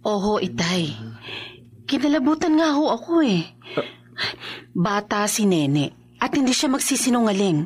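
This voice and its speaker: A woman's voice: medium pitch, lively intonation, unhurried, pauses often, breathy edge. A woman playing a worried young mother and wife in a horror radio drama, earnest and hesitant. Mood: worried, suspicious. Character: anxious young mother and wife growing suspicious of her husband.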